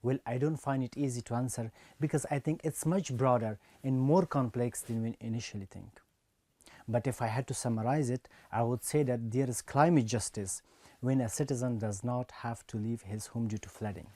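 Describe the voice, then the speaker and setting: Young man from Afghanistan, measured prepared speech, mellow tone, dry close sound. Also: medium pitch, fairly even intonation, dry recording. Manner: earnest, measured delivery. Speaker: speech reader.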